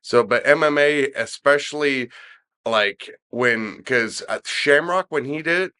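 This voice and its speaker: A man's voice: medium pitch, unhurried, pushed and bright, clean tone. A middle-aged man with a slightly nasal, congested voice, chatting casually as a podcast host in a rambling, opinionated, colloquial way. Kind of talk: casual wrestling chat.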